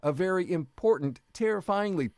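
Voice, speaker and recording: A man's voice: sits high, lively intonation, normal speed, darkish tone, no room sound, clean studio sound. A man narrating a story video in the second person, with a wry, jokey delivery.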